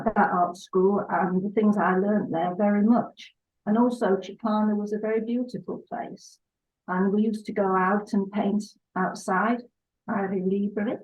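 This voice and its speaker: An older woman from Salford, England, chatting as a guest in an unhurried way with hesitant fillers. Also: medium pitch. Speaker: guest artist. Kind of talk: relaxed interview chat. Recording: dull lo-fi sound.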